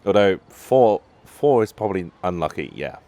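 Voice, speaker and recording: A woman's voice: a bass voice, big pitch swings, normal speed, dark tone. A woman giving casual let's play commentary, musing aloud with short murmured reactions. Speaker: gamer reacting to a game.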